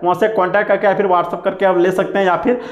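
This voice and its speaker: A man's voice: high-pitched, little pitch movement, fast, roomy sound, highs cut off. A man narrating a phone screen-recording tutorial, listing app features one by one.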